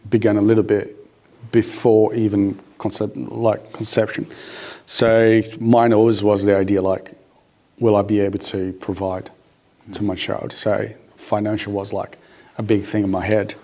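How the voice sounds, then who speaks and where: A man with a Brazilian accent speaks in a fairly low voice. He is a native Portuguese speaker, sharing personal thoughts in a casual group conversation. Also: flat delivery, unhurried, mellow. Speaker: panel participant.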